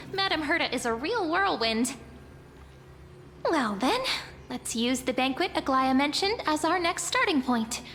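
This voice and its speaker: A woman's voice: sits high, very animated, measured pace, fairly bright tone, long reverb tail, soft background music, full character voice. An actress playing a companionable young woman adventurer in a video game, playful yet dutiful, with a cheerful, earnest manner. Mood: upbeat, encouraging.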